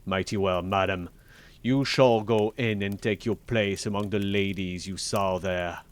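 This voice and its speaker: A man's voice: low voice, fairly even intonation, clean tone. A man performs a menacing, tyrannical husband in a storybook tale. He is stern and commanding, stretching out his words with heavy, merciless emphasis. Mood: accusing, menacing. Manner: commanding, drawn-out emphatic shouts. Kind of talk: dramatic fairy-tale storybook reading.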